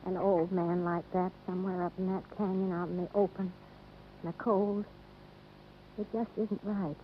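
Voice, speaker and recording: A woman acting as a soft-hearted, resigned ranch wife in a 1950s radio drama, measured and reflective, with an American accent. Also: lowish voice, flat delivery, lightly acted. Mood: resigned, pitying. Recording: tinny, faintly noisy vintage radio.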